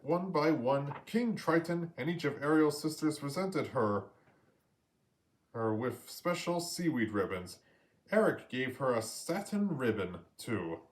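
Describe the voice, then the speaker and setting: Animated man reading a children's story. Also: mid-range voice, measured pace, rough recording. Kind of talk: children's story read-aloud.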